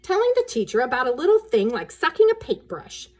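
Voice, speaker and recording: A woman's voice: sits high, sing-song, a little fast, voice pushed up. A woman voicing a stern, exasperated mother scolding in a proper way in a children's storybook.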